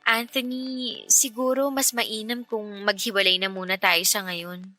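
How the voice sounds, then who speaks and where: A woman's voice: mid-range voice, level tone, measured pace, bright, forceful tone, no room sound, faint music behind. A woman playing a self-sacrificing young working woman in a Tagalog radio drama, earnest, emotional and firm. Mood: sorrowful, firm.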